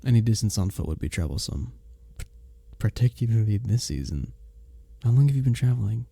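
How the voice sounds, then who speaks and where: A man playing a kind, courteous innkeeper in a medieval fantasy village, speaking softly and formally in an intimate ASMR roleplay drama. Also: lowish voice, sing-song, fairly quick, creaky, husky, no echo, full character voice. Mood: curious. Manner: gentle, formal storybook phrasing, hesitant and tender.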